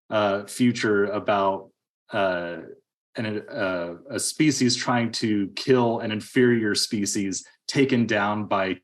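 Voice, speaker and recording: Low-voiced man chatting about films. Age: 30s or 40s. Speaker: podcast co-host. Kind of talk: casual film discussion.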